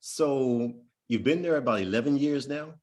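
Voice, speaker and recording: Male interview host, mid-pitch voice, encouraging, short affirmations. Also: moderate pace, a little mellow. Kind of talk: casual interview questions.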